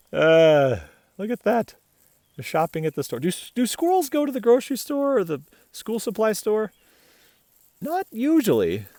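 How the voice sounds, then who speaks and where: A man reading a children's picture book aloud in an animated, playful way, chatting with and questioning the listener. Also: on the high side, dry recording. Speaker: picture-book reader, host.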